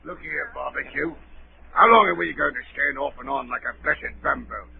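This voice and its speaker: An actor playing an impatient, greedy mutinous pirate in a 1930s radio play, gravelly and blustering. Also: male voice, mid-range voice, normal speed, narrow, tinny audio. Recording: thin, noisy 1930s radio broadcast with hum.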